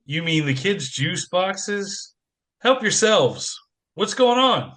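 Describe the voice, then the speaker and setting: An actor playing a harried single dad in an audio play, joking and self-mocking in a theatrical manner. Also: male voice, high-pitched, sing-song, slow, a bit bright, full character voice. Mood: wry banter.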